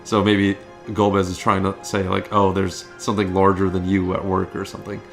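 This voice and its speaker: A man's voice: low-pitched, monotone, brisk, gravelly, soft background music. A man co-hosting a gaming video, chatting informally and agreeably about the cutscenes. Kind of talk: casual chat commentary on game cutscenes.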